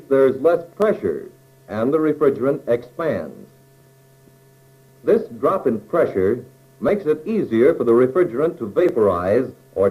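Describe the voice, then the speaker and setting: An American man reading scripted instructional narration for a training film in a measured, explanatory way, with plain phrasing. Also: mid-range voice, warm tone, rough recording.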